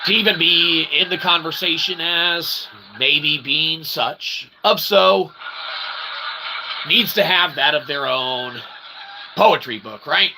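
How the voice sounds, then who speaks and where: A man's voice: on the high side, takes it slowly, gravelly, noisy background. A man hosting a livestream in a rambling monologue, wordy and mock-formal, repeating himself emphatically with tag questions. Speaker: livestream host and self-published author.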